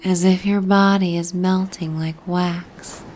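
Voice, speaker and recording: Woman reading a sleep story super slow, lowish soft breathy voice, half whispering, soothing. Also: flat delivery. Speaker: narrator of sleep stories. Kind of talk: bedtime sleep story narration, guided relaxation ASMR.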